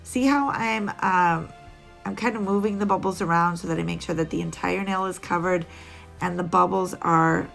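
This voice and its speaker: A woman's voice: lowish voice, steady tone, moderate pace, vocal fry, a bit bright, faint music behind. A woman narrating a casual nail art tutorial, chatty and rambling with little laughs, a bit sniffly.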